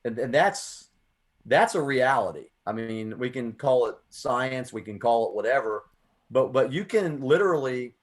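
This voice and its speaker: A man's voice: mid-range voice, lively intonation, moderate pace. An American man hosting a radio poetry program, speaking in an earnest, reflective, emphatic way, whether chatting or reading. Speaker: poetry show host. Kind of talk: conversational radio talk and spoken-word reading.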